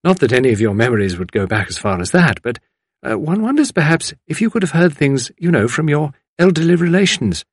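Man playing a polite, inquisitive lady, lightly high. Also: very animated, fairly quick, slightly rough, no room sound, clean studio sound. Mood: tactful, probing. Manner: tentative, courteous. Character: polite, inquisitive well-bred lady visitor, tactful.

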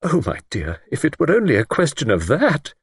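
A man's voice: on the high side, wildly swooping pitch, moderate pace, slightly rough, no room sound, clean studio sound, pitched above the performer's own voice, exaggerated voice. A man voicing an anxious, genteel spinster lady in an audiobook, fretful, fluttery and politely reproachful. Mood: distressed reproach. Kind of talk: audiobook character acting.

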